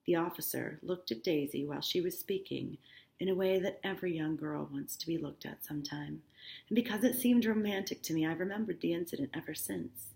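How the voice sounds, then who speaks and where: Lady english teacher reading a book out loud n explaining bits casually, kinda low gravelly fry, echoey room. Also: a little fast. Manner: informal, instructive asides with check-ins like "okay?". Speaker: high school English teacher. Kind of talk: reading a novel aloud with explanatory asides.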